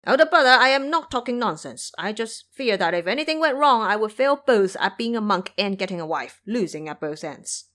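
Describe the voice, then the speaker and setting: A woman voicing a brash, grumbling male boar monster turned monk in an audio drama, loud and swaggering. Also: mid-range voice, animated, a little fast, few pauses, lightly acted. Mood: defensive.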